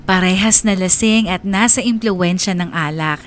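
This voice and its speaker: A woman's voice: fairly low pitch, moderate pace, lightly acted. A woman playing a young woman who narrates her story confessionally in a radio drama. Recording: music bed behind the voice.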